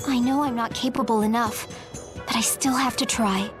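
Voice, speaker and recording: A woman playing an earnest, emotional teenage girl with a noble manner in a game, speaking in an expressive, youthful way. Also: a little high, normal speed, lightly acted. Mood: earnest, resolute. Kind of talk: English game dub acting. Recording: game soundtrack music behind the voice. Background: background music.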